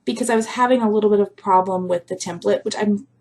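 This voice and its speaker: A woman vlogger chatting casually to her viewers, rambling with lots of fillers, her voice a little rough from a recent sore throat. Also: medium pitch, fast, darkish tone. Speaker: crafting vlogger. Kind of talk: casual chat to camera.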